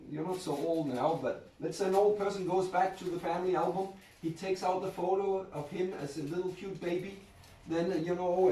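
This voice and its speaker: Guy giving a chatty spiritual talk, kinda high voice, echoey room, mic far off. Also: a little mellow, slight background noise. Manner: conversational, jokes and laughs, restarts often. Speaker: spiritual speaker.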